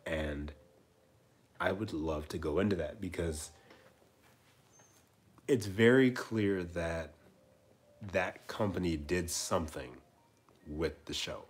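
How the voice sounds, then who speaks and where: A man in his twenties hosting a casual livestream chat, rambling and repeating himself with soft trailing asides. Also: deep voice, takes it slowly, pauses often, breathy edge. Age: 20s. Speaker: livestream host.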